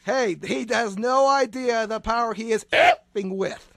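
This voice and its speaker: A male radio host with a high, gravelly voice in a dry studio sound. He plays up comedic bits bombastically and stammers over repeated words. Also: measured pace, a bit bright, no echo. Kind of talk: comedic radio interview in mock-dramatic bits.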